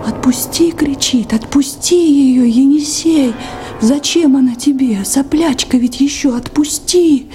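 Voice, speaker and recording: Actress as young river station girl, high mellow voice, naive n shaken, halting, soviet radio play. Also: lightly acted. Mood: moved, wistful. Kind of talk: Soviet radio drama acting.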